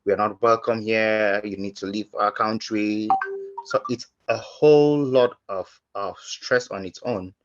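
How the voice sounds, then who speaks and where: A man from Cameroon speaking on a webinar panel, telling his story earnestly and haltingly, with many fillers and restarts. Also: fairly low pitch, fairly even intonation. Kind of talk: personal testimony on a webinar panel. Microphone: video call. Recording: slightly muffled lo-fi audio.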